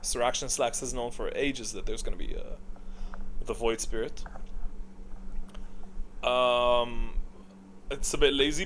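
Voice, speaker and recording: A man's voice: mid-range voice, fairly bright tone, slight background noise. A man giving casual, off-the-cuff commentary as he ranks things, thinking aloud and repeating short phrases. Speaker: video creator ranking game heroes.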